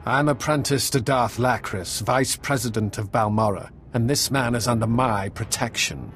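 An actor playing a proud, conflicted young Sith apprentice in a video game, solemn and defiant. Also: male voice, mid-range voice, moderate pace, a bit bright, natural voice. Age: young adult. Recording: music playing behind the voice.

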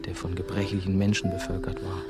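A brooding man played by an actor tells his own story in a radio drama. The voice is low and deliberate, reflective in manner, with music playing clearly underneath. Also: completely flat delivery, lightly acted. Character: brooding male ex-novice, nursing-home worker, telling his story. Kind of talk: radio drama first-person narration. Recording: music behind the voice.

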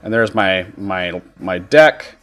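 A man giving a casual software tutorial as a streamer, explaining things informally step by step. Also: low-pitched, expressive, slow pace. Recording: music behind the voice. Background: background music.

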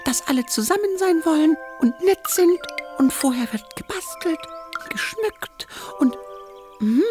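A very high, childlike little king for a children's cartoon. He is playful, eager and impatient, with expressive exclamations. He speaks at a slow pace over clear background music. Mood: earnest. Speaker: main character of children's cartoon. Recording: music under the voice.

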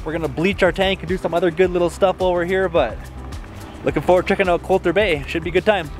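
A man's voice: sits high, fairly even intonation, quick pace, soft background music. A young man talking casually to a camera as a travel vlogger. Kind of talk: casual vlog chat to camera. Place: inside a truck and outdoors at a campground. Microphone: camera held at arm's length.

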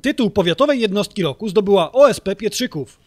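High-pitched male news narrator. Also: animated, fairly quick. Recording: voice-over with music behind. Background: music.

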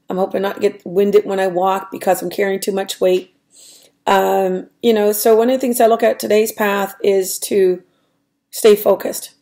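A middle-aged woman with a raspy voice, talking through her weight-loss diary on a webcam at home, hesitant and full of ums. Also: medium pitch, mellow. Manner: rambling, hesitant, many ums and restarts. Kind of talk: casual diary vlog. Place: small room at home, indoors. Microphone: webcam at desk.

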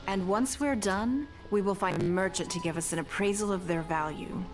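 An actress voicing a poised, shrewd noble leader in a video game, speaking in a composed, measured, authoritative way, with music playing behind. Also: female voice, medium pitch, lightly acted. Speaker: game character.

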